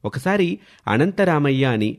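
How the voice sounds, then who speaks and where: A man's voice: fairly high pitch, big pitch swings, measured pace. A man reading scripted narration as the storyteller of a village tale.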